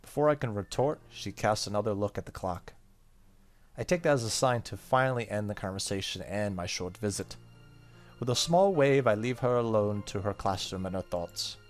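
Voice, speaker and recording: Low-voiced man reading a story aloud. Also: moderate pace, faint music behind. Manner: stumbles over text and rereads. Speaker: gaming video host. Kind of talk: reading visual novel narration aloud. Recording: faint background noise.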